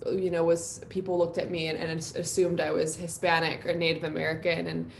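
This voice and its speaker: A woman's voice: lowish voice, level tone, brisk, creaky, a little hiss or hum. A woman from New York City, a guest musician, talking in a reflective, personal way with plenty of ums and likes. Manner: reflective, openly personal, many fillers. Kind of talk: casual live-stream interview.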